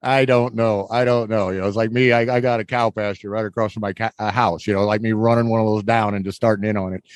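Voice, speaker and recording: A man's voice: on the low side, steady tone, fast talker, no echo. A man hosting a podcast in a casual, chatty style. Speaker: podcast host. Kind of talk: casual chat.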